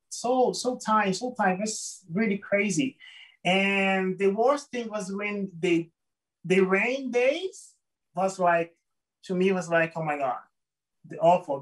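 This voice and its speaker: A man from Brazil with a Brazilian Portuguese accent, a guest telling his life story in an interview, halting and searching for words in English. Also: high voice, distant mic, rough recording.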